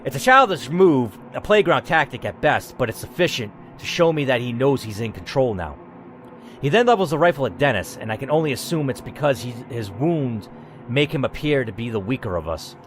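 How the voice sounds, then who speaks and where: A man's voice: mid-range voice, big pitch swings, brisk, a little hiss or hum. A man hosting a gaming video, narrating a text adventure theatrically, with casual, blunt, sweary asides.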